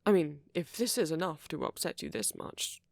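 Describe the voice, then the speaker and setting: A man playing a friendly, flirty vampire in an intimate ASMR roleplay, courteous and gently teasing, with a husky, murmuring voice close to the microphone. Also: on the high side, wildly swooping pitch, moderate pace, dry recording, full character voice. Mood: flirty, reassuring. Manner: gently teasing, polite old-fashioned phrasing, soft chuckles. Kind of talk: ASMR roleplay, intimate one-sided dialogue to the listener.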